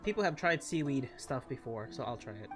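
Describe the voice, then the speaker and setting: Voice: medium pitch, big pitch swings, a little fast. A game streamer of unclear sex chatting casually through a playthrough, rambling and reacting aloud. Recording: game music behind.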